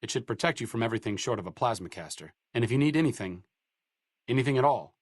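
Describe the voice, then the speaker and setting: A man's voice: mid-range voice, expressive, quick pace, no echo, close to an everyday voice. An actor playing a dry, sardonic male scientist companion in a video game. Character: wry, educated male scientist companion in a wasteland. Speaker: companion character.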